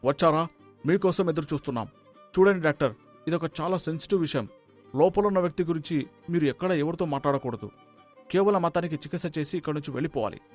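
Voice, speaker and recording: Male actor for story villain: suspicious gangster, rapid high delivery, threatening and urgent. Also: soft background music, lightly acted. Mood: stern, threatening. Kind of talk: dramatic moral-story voice acting.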